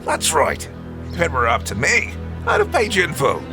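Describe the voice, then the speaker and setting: A man's voice: a little high, huge pitch swings, moderate pace, over music. An actor playing a cheeky, matey mercenary henchman in an adventure audio drama. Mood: chummy, unapologetic. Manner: colloquial, matey. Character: cheeky mercenary henchman, chummy but self-serving. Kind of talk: 1930s-set adventure audio drama acting.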